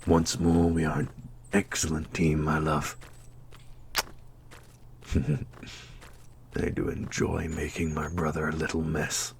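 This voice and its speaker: Guy doing a dark fantasy immortal, super deep and rough, slow wry teasing. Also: completely flat delivery, a little hiss or hum, exaggerated voice. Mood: smug teasing. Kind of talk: fantasy audio roleplay.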